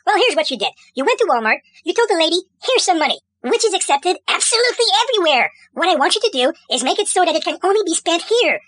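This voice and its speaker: A woman's voice: very high-pitched, sing-song, a bit bright, no room sound. A woman delivering a sarcastic, biting comedic rant. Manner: sarcastic, biting, fast ranting.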